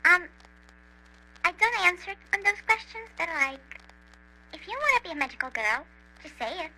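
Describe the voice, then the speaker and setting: A woman voicing an odd, casually flippant wish-granting creature in an indie animated horror, with a hesitant delivery. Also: very high voice, a little slow, faint music behind, heavily acted, low-quality recording. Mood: evasive. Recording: faint hum and hiss.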